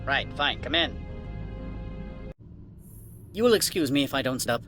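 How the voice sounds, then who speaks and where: Curt, haughty administrator. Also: male voice, mid-range voice, animated, a little slow, sharp tone, faint music behind. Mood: impatient, disdainful. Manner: curt, condescending, officious. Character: brusque, haughty, impatient alien male administrator. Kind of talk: video game dialogue.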